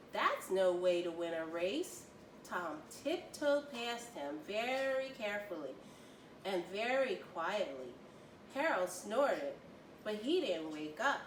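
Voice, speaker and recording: A woman reading a children's story aloud with expressive, deliberate storytelling. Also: medium pitch. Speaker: volunteer children's story reader. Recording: roomy, distant mic, faint noise.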